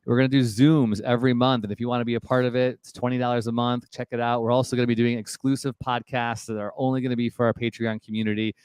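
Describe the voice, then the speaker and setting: A man's voice: medium pitch, little pitch movement, quick pace, hardly any pauses, a little mellow, smooth, no echo. A man hosting a live web show from his office headquarters, upbeat and encouraging. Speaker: host.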